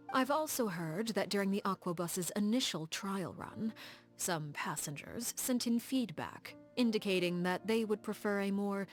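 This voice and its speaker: A woman playing a coolly elegant, formal leader of agents in a video game, speaking in a composed, measured and refined way. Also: mid-range voice, close to an everyday voice. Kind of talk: scripted video game character dialogue.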